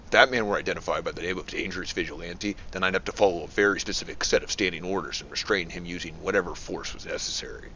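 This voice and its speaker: An actor playing a gruff, sardonic veteran police detective. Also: male voice, low voice, quick pace, few pauses, pushed and bright, dull audio, slight background noise. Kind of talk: amateur motion comic voice acting.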